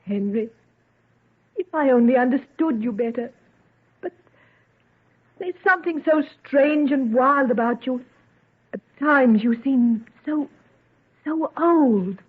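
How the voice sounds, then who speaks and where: Proud young woman in love, high, breathy voice, emotional, theatrical old-time radio drama. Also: animated, slow pace, long pauses, full character voice. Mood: yielding, troubled. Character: proud, wounded young woman devoted to her lover. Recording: muffled, tinny vintage radio recording.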